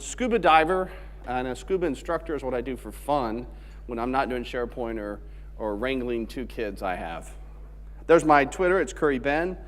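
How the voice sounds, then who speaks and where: A man from Alabama giving a technical conference talk in a joking, conversational way. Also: fairly high pitch. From Alabama, USA. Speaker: conference speaker. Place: large conference hall stage. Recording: long hall reverb on stage mic.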